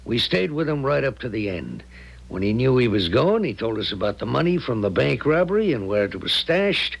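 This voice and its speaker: A purposeful, scheming ex-con in a vintage radio play. He sounds creaky and husky, with lively pitch swings and slangy street talk. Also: fairly quick, poor audio. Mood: insistent. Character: bossy, scheming ex-convict in his late thirties. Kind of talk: 1970s radio drama acting.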